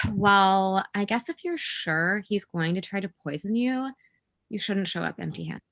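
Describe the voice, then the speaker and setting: A scheming woman played by an actress speaks in a matter-of-fact way at a middle pitch. It has the everyday delivery of a comedy script being read around a table. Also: unhurried, creaky, fairly bright tone.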